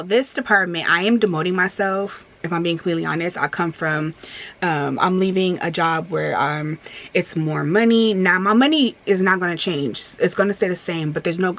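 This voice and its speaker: A woman's voice: on the low side, a bit bright. A woman in her thirties chatting candidly in a storytime vlog, rambling with many fillers and restarts and turning emphatic as she vents. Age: 30s. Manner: emphatic when venting. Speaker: vlogger. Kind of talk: casual storytime chat while getting ready.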